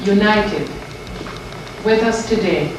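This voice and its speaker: A woman opens her lecture with a solemn, incantatory invocation, spoken very slowly. Her voice comes from a distance in a large, reverberant hall with noticeable background noise. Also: mid-range voice. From Haiti. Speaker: guest lecturer. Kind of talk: ceremonial invocation. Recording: echoey hall, distant mic, noisy.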